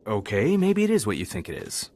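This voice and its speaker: An actor playing a lovestruck, defiant young Jedi student in a video game, earnest and declamatory. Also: male voice, fairly low pitch, huge pitch swings, brisk, darkish tone. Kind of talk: scripted video game acting.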